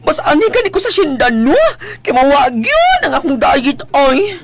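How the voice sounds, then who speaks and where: An actress with a Cebuano Filipino accent playing an excitable, food-loving household helper in a radio drama, gushing and exclaiming. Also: female voice, sits high, moderate pace. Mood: gushing, delighted.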